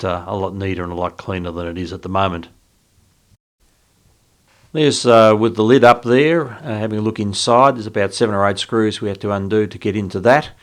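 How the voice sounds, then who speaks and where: A man with a low-pitched voice presents an informal hobbyist walkthrough. He talks without a script, pausing often and feeling his way through each explanation as he goes. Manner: halting, unscripted, thinking aloud. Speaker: video host, radio hobbyist.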